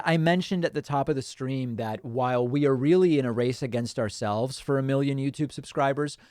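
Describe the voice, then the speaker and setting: A man's voice: medium pitch, a little mellow, no room sound, studio quality. A man from Argentina, a native Spanish speaker, hosting a political livestream and casually reading viewer comments aloud with frequent ums and uhs.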